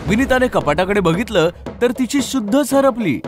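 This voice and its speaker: A male storyteller delivers a scripted horror tale dramatically. His voice sits high and moves widely in pitch, with music clearly playing beneath. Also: expressive, normal speed. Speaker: narrator. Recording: clear music under narration.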